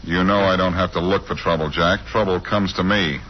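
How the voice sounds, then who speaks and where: An actor playing a cool, glib amateur sleuth in 1940s radio style, on an old muffled recording. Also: male voice, sits low, steady tone, moderate pace. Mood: cool, defiant. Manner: glib, teasing. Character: wisecracking, cool, unflappable amateur sleuth man.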